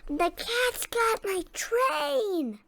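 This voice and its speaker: Shrieking, very high mouse townsfolk. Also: female voice, sing-song, extremely slow, vocal fry, heavy background noise, full character voice. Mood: panicked. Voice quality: shrill, squeaky.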